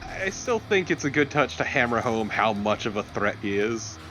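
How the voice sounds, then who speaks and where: A man's voice: on the low side, big pitch swings, moderate pace, fairly bright tone, soft background music, low-quality recording. A man co-hosting a let's play with casual, joking banter about the game.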